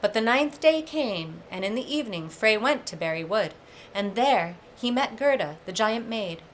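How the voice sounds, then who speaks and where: A woman narrates like a storyteller at a read-aloud, deliberate and expressive. Her pitch is middling, and clear background noise runs under the voice. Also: low-quality recording. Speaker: story reader for a public library.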